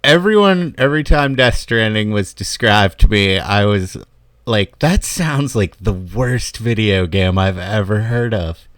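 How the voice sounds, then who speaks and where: Man, podcast host, relaxed and joking, casual gaming chat, dry close mic. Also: on the low side, a little slow, dry recording. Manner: laid-back, joking.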